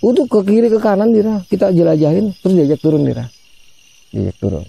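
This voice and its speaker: Gravelly man telling a ghost story. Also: high voice, expressive, fast talker, mellow. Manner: chatty, addresses listener by name, acts out quoted voices. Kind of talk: casual spoken ghost story.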